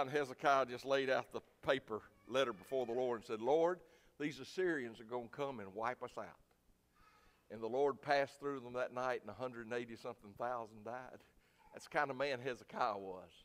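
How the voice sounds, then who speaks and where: A man preaching a sermon in a folksy, plainspoken, emphatic way, with a loud voice. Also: mid-range voice. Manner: folksy, plainspoken, emphatic, swinging from quiet asides to loud exhortation. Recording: reverberant hall.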